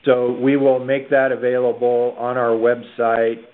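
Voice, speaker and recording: Phone-line man moderating, mid-pitched. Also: monotone, measured pace. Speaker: conference call moderator. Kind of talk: informal meeting moderation. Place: conference call. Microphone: telephone. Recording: muffled telephone line.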